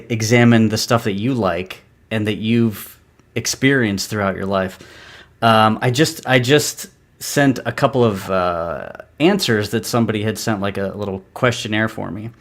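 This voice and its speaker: A man, a comic writer and artist, chatting as a guest on a live stream, storytelling in a loose, rambling way full of fillers and restarts. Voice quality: describes his own voice as high. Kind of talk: casual live-stream interview.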